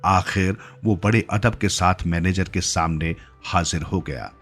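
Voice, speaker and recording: A man's voice: sits low, measured pace, a bit bright, soft background music. A man narrating a scripted literary story as a storyteller.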